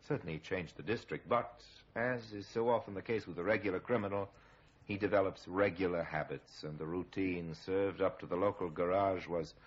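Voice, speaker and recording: A man acting as the grave, sardonic narrator of an old radio crime drama, telling it with measured, ominous irony. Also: low voice. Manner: measured, ironic, ominous storytelling. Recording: muffled vintage radio recording.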